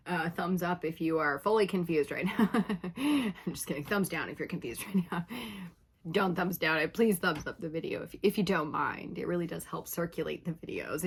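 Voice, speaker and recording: A woman reading tarot for her viewers in a casual, chatty way, her voice slightly raspy from hours of talking, often checking in with "okay?". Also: fairly low pitch. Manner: chatty, laughing, uses filler like "okay?". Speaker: tarot reader.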